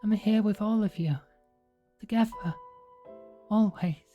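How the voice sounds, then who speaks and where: A man's voice: sits high, measured pace, dark tone, highs cut off, faint music behind, pitched above the performer's own voice. A man voicing a dying young girl who pleads through tears in a read-aloud story. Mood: joyful. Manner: pleading, tearful. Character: dying young girl, frightened then joyful.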